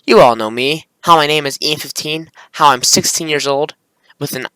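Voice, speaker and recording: A man's voice: a little high, normal speed, pushed and bright, smooth, no echo, poor audio. A young man giving informal, rambling screen-recording commentary and reading his script aloud, often correcting himself. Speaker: video creator.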